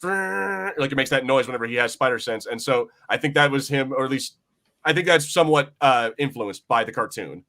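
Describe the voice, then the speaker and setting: A man speaks in a bright, punchy voice at a quick clip. He rambles excitedly through casual podcast chat and swears freely. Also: mid-range voice. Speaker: podcast host.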